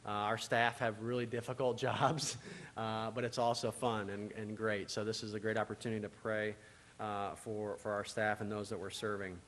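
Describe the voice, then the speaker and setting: A man speaking to a church congregation about his agency's work, pausing often with filler sounds. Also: fairly low pitch, little pitch movement, a bit bright, a little hiss or hum. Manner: hesitant, many filler uhs. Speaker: church relations speaker for a social services agency. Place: church sanctuary. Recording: distant mic in a reverberant church.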